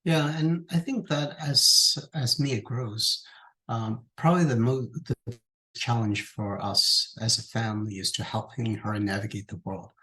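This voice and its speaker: A man's voice: mid-range voice, measured pace, bright, forceful tone, low-quality recording. A man, a father, speaking reflectively about his family, with some fillers. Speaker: parent of a patient.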